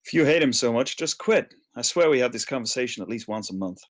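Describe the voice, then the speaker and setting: Man playing a fast-talking sarcastic friend. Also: medium pitch, expressive, lightly acted. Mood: exasperated. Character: exasperated, teasing young male friend.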